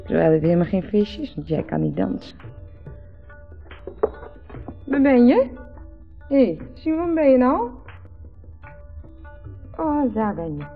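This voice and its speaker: A giggly, slurring Dutch wife rambles flirtatiously and repeats herself, tipsy at a party. Her voice has a creaky edge. It is a 1970s radio play with a dull sound and faint music behind her. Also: mid-range voice, big pitch swings, slow pace, long pauses, full character voice. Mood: tipsy, teasing. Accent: Netherlands Dutch. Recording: dull vintage radio recording.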